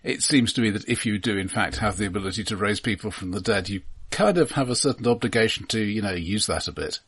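A man with a rough, husky voice on the low side chats about films as a co-host. He is analytical and dryly wry, recorded close and dry with no room sound. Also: fast talker, fairly bright tone, dry recording. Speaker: podcast co-host reviewing films. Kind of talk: casual film discussion.

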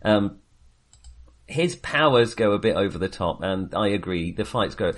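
A man with a fairly low voice talks through films as a podcast co-host. His delivery is casual and hesitant, wandering and doubling back to rephrase. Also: expressive, moderate pace. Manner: hesitant, rambling, self-correcting. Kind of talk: casual film discussion.

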